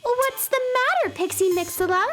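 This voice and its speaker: A woman as an eager, very high-voiced girl. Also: moderate pace, over loud music. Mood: concerned. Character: bright, friendly young space girl. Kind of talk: children's radio drama acting.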